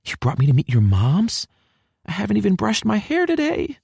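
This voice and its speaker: A man's voice: sits high, huge pitch swings, normal speed, creaky, a little mellow, breathy edge, no echo, studio quality, voice pushed up, full character voice. A man doing a flustered young woman. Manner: anxious, flustered.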